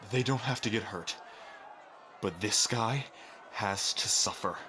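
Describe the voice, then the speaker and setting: A man's voice: fairly low pitch, unhurried, noisy background, close to an everyday voice. A man playing a loyal, hot-headed rebel in an ASMR roleplay, protective, vengeful, earnest and emphatic in delivery. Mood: grim, resolute.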